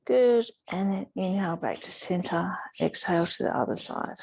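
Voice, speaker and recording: A woman teaching a yoga class, giving soothing, unhurried guidance with pauses between instructions. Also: lowish voice, creaky, darkish tone, husky, no room sound. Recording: dull, muffled lo-fi sound.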